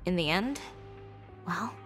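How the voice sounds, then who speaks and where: A woman's voice: on the low side, animated, slow, fairly bright tone, soft background music. A woman voicing a confident, cool-headed fashion designer in a video game, composed, blunt and matter-of-fact. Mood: reflective, proud.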